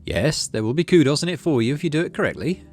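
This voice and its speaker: A man's voice: a little high, big pitch swings, quick pace, a little mellow, soft background music, voice pushed up. A man voicing a stern, skeptical colony administrator in a video game, with a formal, condescending manner. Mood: grudging.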